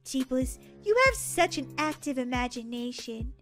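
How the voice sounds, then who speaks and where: A woman's voice: high voice, normal speed, over music, close to an everyday voice. A woman voicing a sassy, sceptical little girl with a childlike, chiding manner in a gaming video.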